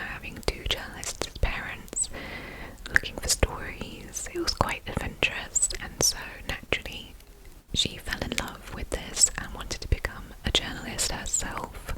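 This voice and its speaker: A woman whispering a true crime story for ASMR, slightly nasal and a little congested from a recent illness, chatty with small asides. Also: low-quality recording. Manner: soft unvoiced whisper, chatty with "you know" asides. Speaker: ASMR channel host.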